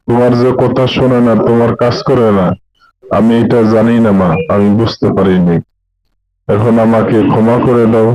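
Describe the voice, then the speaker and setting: A man's voice: mid-range voice, dark tone, no echo, close to an everyday voice, poor audio. A man voicing a contrite, obedient grown son in a cartoon, apologetic and earnest.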